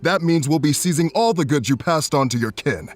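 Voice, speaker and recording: A man's voice: a little high, expressive, fairly quick, lightly acted. A man playing a stern commission officer in a video game, speaking in a formal, authoritative way. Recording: music under the voice.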